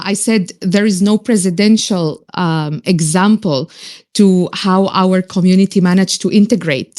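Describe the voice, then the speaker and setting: A woman's voice: medium pitch, darkish tone. A woman in her thirties who grew up in Israel, a native Arabic speaker, speaking earnestly with frequent hesitations as a guest in a live online audio discussion. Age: 30s.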